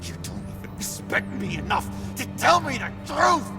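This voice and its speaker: An actor playing an unstable, nervous young man in a video game, stammering and halting, paranoid and quick to swing into outbursts. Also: very high voice, huge pitch swings, gravelly, over music, exaggerated voice. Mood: bitter, accusing. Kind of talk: dramatic video game acting.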